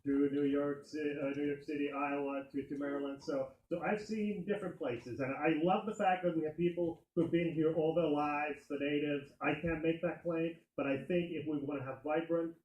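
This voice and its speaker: A man's voice: fairly high pitch, steady tone, moderate pace, few pauses, darkish tone, highs cut off. A man from Finland introducing himself as a candidate at a community meeting, friendly and hesitant with many fillers. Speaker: state senate candidate. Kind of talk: campaign speech at community meeting. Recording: echoey meeting room.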